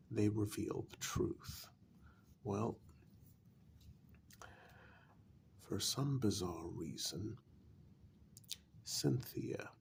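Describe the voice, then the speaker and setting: A man playing a calm, frank vampire in a soft-spoken, halting ASMR role-play, often dropping close to a whisper. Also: on the low side, creaky, a little hiss or hum, heavily acted. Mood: grave, explanatory. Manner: slow, deliberate, halting with long pauses.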